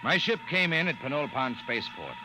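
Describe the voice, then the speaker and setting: A man's voice: medium pitch, big pitch swings, fast, lightly acted. A man acting an eager young frontier-service officer who tells his story in a 1950s radio drama. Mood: reminiscing. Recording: old 1950 radio recording, music underneath.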